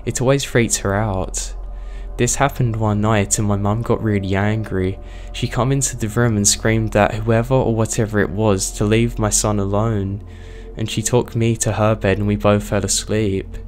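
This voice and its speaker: Low-voiced man with a strained, hoarse voice. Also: fairly even intonation, normal speed, a little mellow, faint music behind. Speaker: horror story narrator, channel host. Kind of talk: scripted horror story narration.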